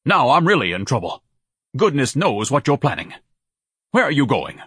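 A man's voice: mid-range voice, big pitch swings, normal speed, fairly bright tone, slightly rough, no echo, studio quality, voice pushed up. A man voicing a pompous, self-pitying grown son who speaks suspiciously. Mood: alarmed.

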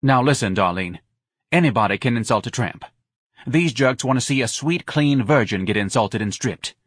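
A man's voice: medium pitch, animated, normal speed, slightly rough, no echo, studio quality, voice pushed up. A man voicing a hard-nosed, scornful woman who runs a nightclub, snapping out crude orders in an audiobook. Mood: bossy, impatient. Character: hard-nosed, bossy, scornful female nightclub owner Lana.

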